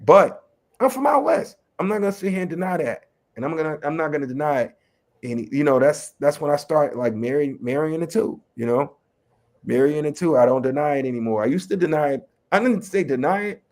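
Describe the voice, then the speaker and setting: A man from Chicago's West Side with a local accent and a slight New York tinge, a rapper and educator speaking in a relaxed, streetwise way as an interview guest. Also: fairly high pitch, fairly quick. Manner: relaxed, streetwise, lots of "you know what I mean". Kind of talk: casual interview talk.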